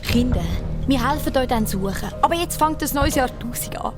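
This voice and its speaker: A woman's voice: high-pitched, animated, normal speed, music in the background. A woman with a Swiss German accent playing a kind, romantic young woman in a children's radio play, friendly and soothing. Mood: kindly, excited.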